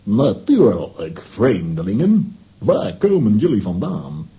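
A man's voice: on the low side, wildly swooping pitch, slow, mellow, full character voice. A man playing a courteous, stately wizard lord in a children's audio drama. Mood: welcoming. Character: grateful castle-owning wizard of long ago.

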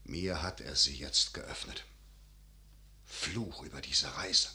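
An actor playing a grave, worldly older father and military man in a classical German radio drama, declaiming verse with measured weight. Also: rumbling low, pushed and bright, exaggerated voice. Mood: alarmed, bitter.

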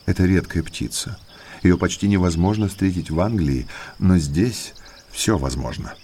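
Casting: a courteous, deliberate naturalist and moorland guide, deep register, enigmatic air, audiobook drama. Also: male voice, dark tone, slight background noise. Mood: musing, explanatory. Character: well-mannered male naturalist, eager guide to eerie moors. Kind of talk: Russian audiobook drama acting.

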